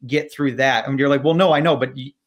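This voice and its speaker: A host running a casual interview over a video call, speaking in a chatty, halting way with lots of quick agreement. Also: medium pitch, steady tone. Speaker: tour company host. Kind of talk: casual chat.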